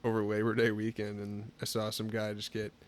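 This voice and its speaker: A man in his twenties, a podcast guest, chatting casually and telling stories in a relaxed way, full of fillers. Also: lowish voice, fairly quick. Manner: relaxed storytelling, lots of filler "like". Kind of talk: casual chat.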